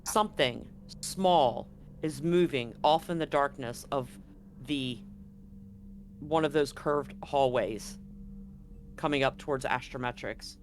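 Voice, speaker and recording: A man acting as game master, narrating a tabletop roleplaying game in a deliberate, halting way. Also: mid-range voice, slight background noise.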